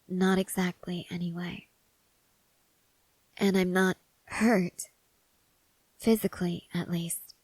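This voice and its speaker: A woman acts out a stoic young woman soldier who is quietly devoted to her mate. Her voice is breathy and often slips toward a whisper, with slow, hesitant phrasing. It is an intimate ASMR roleplay, recorded close and dry. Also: on the low side, no room sound, poor audio. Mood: troubled, hesitant. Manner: hushed, hesitant, gently reserved.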